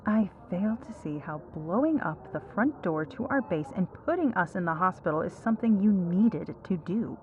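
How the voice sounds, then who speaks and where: Casting: actress as weary, sarcastic female hero for audio drama, slightly low voice, faint background noise. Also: animated, normal speed, hardly any pauses, phone-quality sound, lightly acted. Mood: angry, sarcastic. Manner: dry, fed-up sarcasm. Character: exasperated, sarcastic female superhero as injured hospital patient. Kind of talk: ASMR roleplay audio drama.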